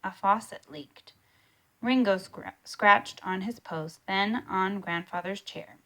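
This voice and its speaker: Slow-paced woman reading a children's story. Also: medium pitch, a bit bright. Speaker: storybook reader. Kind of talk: scripted children's story read-aloud.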